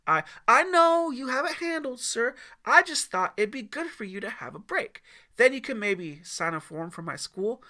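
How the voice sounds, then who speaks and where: A man voicing an eager, flattering teenage boy scout with a squeaky, cracking voice and an earnest, wheedling way of talking. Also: moderate pace, heavily acted.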